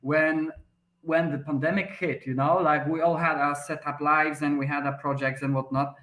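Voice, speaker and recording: A man's voice: on the high side, level tone, normal speed, a bit bright. A man in his thirties with a Polish accent, a podcast guest answering at length with frequent restarts and fillers. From Poland. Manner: hesitant, many restarts and fillers. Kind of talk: conversational interview answer. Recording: roomy echoey sound.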